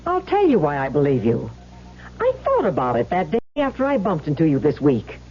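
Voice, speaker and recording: A woman's voice: low-pitched, huge pitch swings, a little fast, darkish tone, slight background noise. A woman playing a gossipy, blunt suburban housewife in an old-time radio drama, chatty, exclamatory and scornful, on a muffled recording. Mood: emphatic, confiding.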